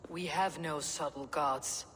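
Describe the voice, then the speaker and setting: A woman's voice: low voice, steady tone, takes it slowly, a bit bright. A woman playing a stoic, wry and commanding Norse warrior woman in a video game. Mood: stern, measured. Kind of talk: video game dramatic acting. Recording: reverberant with faint background noise.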